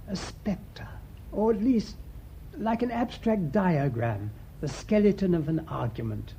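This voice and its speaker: A British man acting a thoughtful, shrewd priest sleuth in a radio mystery, speaking in a measured, deliberate way. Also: dark tone. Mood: musing, wistful. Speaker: actor in the lead detective role. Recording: muffled radio recording with faint rumbling noise.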